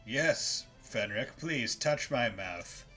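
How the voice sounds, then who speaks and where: A man's voice: medium pitch, lively intonation, slow pace, bright, forceful tone. A man streaming a video game with casual, playful commentary, profane and full of jokey asides. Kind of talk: casual live gameplay commentary. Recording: faint game music behind.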